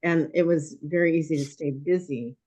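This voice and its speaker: A woman in her sixties, a guest in a conversation, speaking thoughtfully and hesitantly with many pauses while her voice is going hoarse. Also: low-pitched, steady tone, warm tone. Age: 60s. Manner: thoughtful, hesitant with frequent ums. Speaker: podcast guest. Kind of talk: reflective conversation.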